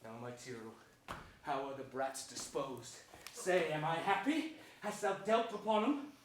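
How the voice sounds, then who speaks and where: Scheming hunchbacked usurper played by a man, high voice, grand stage declamation, distant hissy recording. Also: unhurried, natural voice. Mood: gloating. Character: scheming, gloating, villainous hunchbacked royal usurper. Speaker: stage actor. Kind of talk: theatrical Shakespearean stage declamation. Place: theater stage before an audience. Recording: distant mic in echoey theater, hiss.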